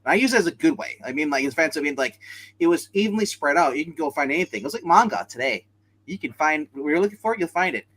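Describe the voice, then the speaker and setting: Bright-voiced man chatting on a livestream. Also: on the high side, expressive, quick pace. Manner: rambling, informal. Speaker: livestream co-host. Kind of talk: casual livestream chat about pop culture.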